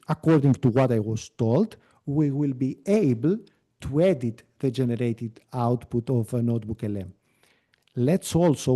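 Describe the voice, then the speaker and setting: Greek-native guy walking through a tool on a video call, mellow voice, chatty, lots of uhs and restarts. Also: medium pitch. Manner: relaxed and explanatory, with frequent fillers and self-corrections. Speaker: webinar presenter. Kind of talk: live screen-share demo.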